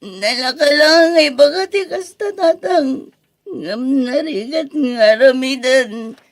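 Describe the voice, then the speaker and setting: An actress playing a wronged, emotional young wife and mother in a radio drama, with a husky voice and an Ilocano accent. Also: high-pitched, expressive, slow, dry recording, studio quality, full character voice. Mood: anguished. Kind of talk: Filipino radio drama acting.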